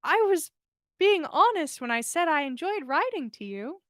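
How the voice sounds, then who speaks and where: A woman's voice: sits high, big pitch swings, moderate pace, fairly bright tone, no echo, studio quality, voice pushed up. A woman voicing a dreamy, earnest young woman with a solemn, honest manner in a story reading. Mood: tender, shy. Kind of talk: fan fiction story reading.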